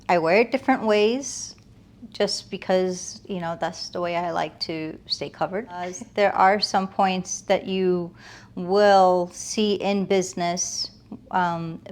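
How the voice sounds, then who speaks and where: A woman's voice: lowish voice, a little mellow. A woman speaks as an interview guest. She is earnest and reflective, talking conversationally and stacking short phrases to make her point. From United States, moved from Afghanistan as a toddler.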